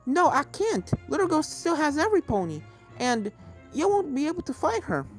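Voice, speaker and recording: Young storybook filly played by an adult reader, higher voice, brave and earnest, anxious edge, music underneath. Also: very animated, moderate pace. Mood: determined.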